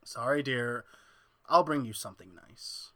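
A man's voice: medium pitch, measured pace, a bit bright, no room sound, natural voice. A man playing an obsessed, domineering mad scientist in an old-time radio horror play, with a theatrical, gloating manner. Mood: placating.

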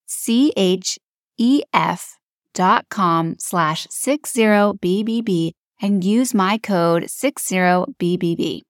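A woman reads scripted promotional copy as the host of a podcast. Her pitch is a little on the low side. The recording is dry, with hardly any room sound. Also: no room sound. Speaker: podcast host reading a sponsor ad.

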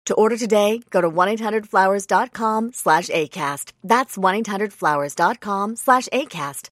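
A woman's voice: mid-range voice, normal speed, fairly bright tone, no echo. A woman announcer delivering a scripted advertisement. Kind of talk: scripted ad read.

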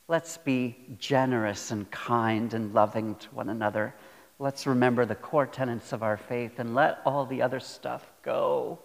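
A man preaching a sermon as a pastor, earnest and deliberate, repeating phrases for emphasis. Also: medium pitch. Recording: long hall reverb.

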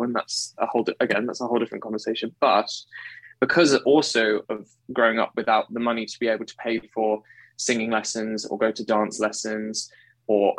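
A man in his thirties from England with a baritone voice, a guest talking earnestly about his training, often correcting himself mid-sentence. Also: fairly bright tone, no room sound, rough recording. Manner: earnest, self-correcting, effusive. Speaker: guest, actor and singer. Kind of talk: conversational interview.

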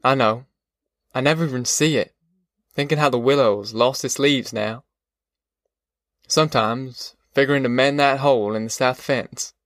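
Man voicing weary Southern farmer, resigned, mid-pitched. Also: normal speed, fairly bright tone, dry recording, studio quality, close to an everyday voice. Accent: rural American South. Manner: plainspoken, resigned, folksy. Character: Pa, weary, defeated middle-aged farmer, homesick. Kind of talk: audiobook character dialogue.

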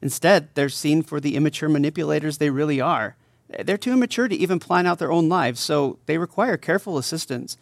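A man's voice: a little high, brisk, creaky, no echo, studio quality. A man acting as a thoughtful advocate interviewed in an audio drama, explaining earnestly and didactically. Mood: earnest, explanatory. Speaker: interview guest.

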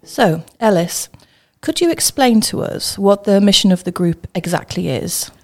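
A woman's voice: mid-range voice, big pitch swings, unhurried, music in the background. A woman, a teacher, hosting an interview podcast. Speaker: host, school teacher.

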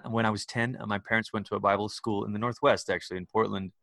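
A man's voice: lowish voice, fairly even intonation, fast talker, vocal fry, fairly bright tone, no echo. Conversational man from Malaysia, dry close sound. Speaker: interview guest, church leader. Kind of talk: casual interview conversation. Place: video call.

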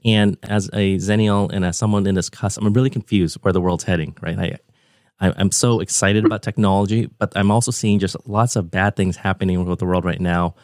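A man's voice: low-pitched, fairly even intonation, fairly quick, a little mellow, no room sound, studio quality. A man of about forty hosting a podcast interview over a remote call, talking in a conversational, enthusiastic and discursive way. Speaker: podcast host.

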